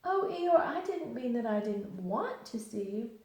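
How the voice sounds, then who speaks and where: A woman voicing a gentle, simple-minded young male bear, speaking softly and earnestly in a very echoey room. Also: a little high, big pitch swings, a little slow, pitched above the performer's own voice.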